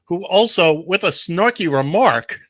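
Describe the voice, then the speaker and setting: Bright-voiced playful male radio host. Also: high voice, animated, measured pace, dry recording, studio quality. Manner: playful, theatrical announcer delivery with teasing. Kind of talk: casual radio banter and game segment hosting.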